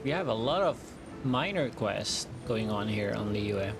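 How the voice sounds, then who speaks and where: A man's voice: lowish voice, expressive, a little slow. A man streaming a game with casual playthrough commentary, muttering to himself and repeating words. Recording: game music clearly behind the voice. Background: video game music.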